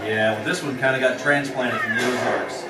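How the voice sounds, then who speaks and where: An actor playing a terse, authoritative American frontier marshal in an old-time radio western. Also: male voice, mid-range voice, steady tone, normal speed, over loud music, natural voice. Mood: dry, easy. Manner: terse, authoritative, plain-spoken. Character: steady, commanding frontier marshal, dry and firm. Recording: roomy, distant vintage radio recording with voices.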